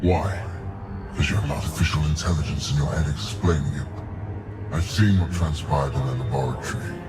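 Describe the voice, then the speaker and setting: A man playing an imperious alien warlord in a video game, threatening and deliberate, full of cold contempt. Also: rumbling low. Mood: cold menace. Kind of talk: video game villain acting. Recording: loud scary music behind.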